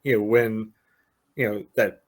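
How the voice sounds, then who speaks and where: A man's voice: on the low side, fairly even intonation, a little slow. A man co-hosting a soccer podcast, reasoning casually and hesitantly, with many filler phrases. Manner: hesitant, leans heavily on "you know". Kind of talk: casual sports discussion.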